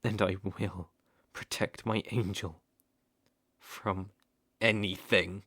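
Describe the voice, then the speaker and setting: A man's voice: lowish voice, little pitch movement, no room sound, heavily acted. Doting British male stalker, very close and dry, acted. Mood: tender, possessive. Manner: tender, doting, wry, halting phrasing with soft chuckles. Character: devoted, possessive male stalker, outdoorsy and softly protective. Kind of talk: intimate ASMR roleplay acting.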